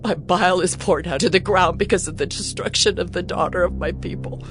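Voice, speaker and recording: A woman's voice: lowish voice, a little fast, music in the background, lightly acted. A woman performing a dramatized scripture lament, anguished and pleading. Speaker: narrator of a lament.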